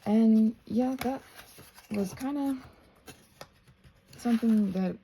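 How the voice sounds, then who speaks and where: An American woman rambling casually while she does crafts, halting and trailing off into soft-spoken asides. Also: a little high, a little hiss or hum, poor audio. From United States. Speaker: craft and ASMR channel host.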